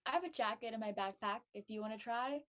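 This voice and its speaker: A woman playing a friendly, easygoing teenage girl, soft-spoken, in casual audio drama dialogue. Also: on the high side, fast, natural voice. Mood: kind, easygoing.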